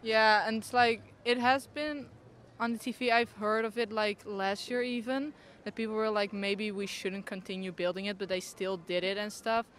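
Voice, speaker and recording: A woman's voice: mid-range voice, fairly even intonation, moderate pace, few pauses, a bit bright. A young woman answering a street interviewer, giving her opinion earnestly with plenty of fillers. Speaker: passer-by interviewee. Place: city street outdoors. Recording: faint street noise outdoors.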